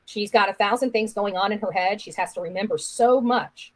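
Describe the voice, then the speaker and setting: Female online commentator, medium pitch, opinionated and accusatory, hammers points by repetition. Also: fairly quick. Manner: accusatory, insistent, repeats phrases for emphasis. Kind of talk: opinionated live-stream commentary.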